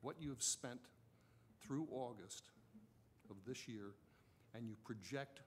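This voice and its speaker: A man's voice: medium pitch, takes it slowly, slight background noise. A man speaking as a council member in a meeting, blunt and insistent as he argues. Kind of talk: critical discussion at a public budget meeting. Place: council chamber.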